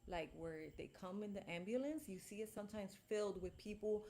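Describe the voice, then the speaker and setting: A woman's voice: medium pitch, brisk, warm tone. Woman, young adult, casual live chat, rambling with fillers, faint background hiss. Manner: chatty, rambling, with many restarts and fillers. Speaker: vlogger. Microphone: clip-on mic, later held closer.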